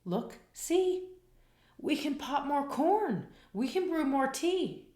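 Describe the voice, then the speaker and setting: A tiny storybook mouse squeaks away in a high, pinched voice, as a woman puts him on. He sounds fretful and eager to please. The recording sits in a noticeably echoey room. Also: sing-song, takes it slowly, mellow, slight background noise, full character voice. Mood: squeaky.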